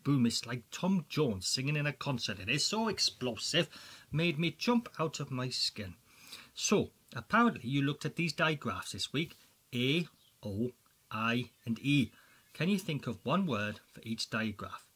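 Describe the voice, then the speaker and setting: A man with a Welsh accent presents a school quiz for children in a chatty, excitable way, full of jokey asides. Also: medium pitch, normal speed, breathy edge. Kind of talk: playful quiz commentary for children.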